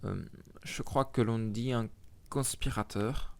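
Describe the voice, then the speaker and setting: A man's voice: medium pitch, slow pace, gravelly, a little mellow. A man voicing a polite vampire hunter hero who stammers under pressure. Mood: flustered.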